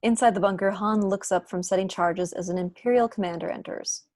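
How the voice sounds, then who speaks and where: A woman's voice: medium pitch, fairly even intonation, brisk, darkish tone, dry recording, clean studio sound. Young woman reading stage directions. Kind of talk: scripted narration at a table read. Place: room at home, video call.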